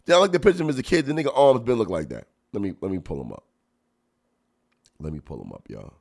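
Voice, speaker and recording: A man hosting a podcast, a stand-up comic chatting and reacting to clips, with a stuffy nose and loud laughs. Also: on the low side, fast, gravelly. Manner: animated, laughs loudly, chatty slang. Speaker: podcast host and stand-up comedian. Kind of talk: casual chat reacting to clips.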